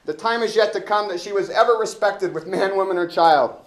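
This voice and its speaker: Man playing a loud, blustering, indignant constable. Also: high voice, a little fast, echoey room, pitched above the performer's own voice, natural voice. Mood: outraged, blustering. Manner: pompous, sputtering insults. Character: indignant, blustering male constable, foolish and self-important. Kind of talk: classroom reading of Elizabethan stage comedy.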